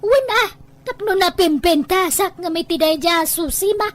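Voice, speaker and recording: A woman's voice: very high-pitched, normal speed. A woman acting in an Ilocano audio drama as a bossy, impatient, vain village woman who scolds and insists. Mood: fretful.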